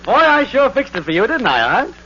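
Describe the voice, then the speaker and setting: An actor playing a breezy, scheming neighbor in a 1940s radio sitcom, glib and cheerfully self-satisfied. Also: male voice, extremely high pitch, wildly swooping pitch, a little fast, pushed and bright, full character voice. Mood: smugly pleased. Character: breezy, smug, scheming next-door buddy, a grown man. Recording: muffled, noisy old radio recording.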